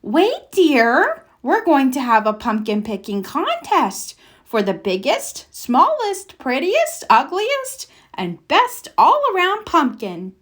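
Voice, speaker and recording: A patient, cheery schoolmistress from a storybook, acted out by a woman. Her high voice swings widely as she calls out and gives kindly instructions. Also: wildly swooping pitch, slow, exaggerated voice. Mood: calling out.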